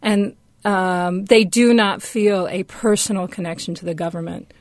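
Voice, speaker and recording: A woman answering questions as a radio guest in a measured, explanatory, conversational way. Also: medium pitch, no room sound.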